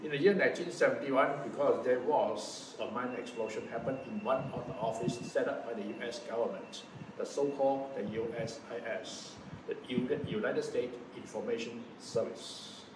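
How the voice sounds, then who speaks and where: A man in his seventies from Malaysia, giving a personal testimony in a halting way, often restarting and correcting himself. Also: mid-range voice. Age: 70s. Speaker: interviewee recounting his past. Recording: roomy echo from a distant mic, noisy background.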